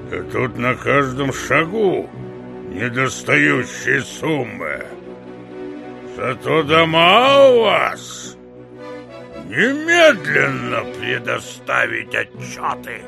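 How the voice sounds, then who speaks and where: Gravelly, drawling military general. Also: male voice, mid-range voice, huge pitch swings, full character voice. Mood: stern, demanding. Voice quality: gravelly, raspy. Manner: slow, commanding, pompous. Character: stern, self-satisfied old-regime military general and boss. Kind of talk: audio drama acting of classic literature. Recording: studio audio drama with music.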